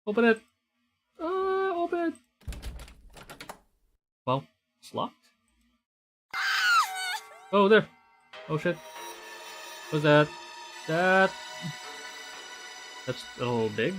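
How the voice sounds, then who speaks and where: A man's voice: high voice, big pitch swings, drawn-out words, long pauses, a bit bright. A man from the Philippines livestreaming a game, reading aloud theatrically and reacting to it. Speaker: streamer. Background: game music.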